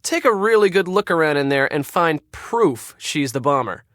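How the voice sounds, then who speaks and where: An actor playing a bossy, irritable and secretly crooked spa manager in a video game, curt and sarcastic. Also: male voice, a little high, sing-song, normal speed, a bit bright, no echo, studio quality. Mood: conspiratorial, insistent. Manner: curt, sarcastic, barking orders.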